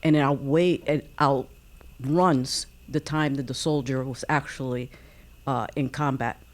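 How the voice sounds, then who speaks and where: Woman, low voice, slow pace, halting deliberate phrasing, reflective audience comment. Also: steady tone. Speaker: audience member.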